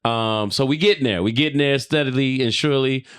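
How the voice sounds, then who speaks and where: A man co-hosting a podcast, joking casually in animated, profanity-heavy slang. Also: medium pitch, brisk, clean tone. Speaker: podcast co-host.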